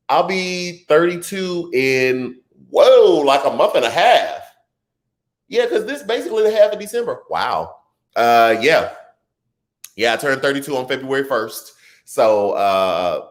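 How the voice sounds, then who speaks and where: A man in his thirties hosts a live political show, talking casually with a lively, swooping pitch. He shifts easily between serious points and playful asides. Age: 30s. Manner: animated and conversational, drifts into chatty asides and laughs easily. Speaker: political talk show host. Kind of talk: live-streamed political commentary, reading viewer comments.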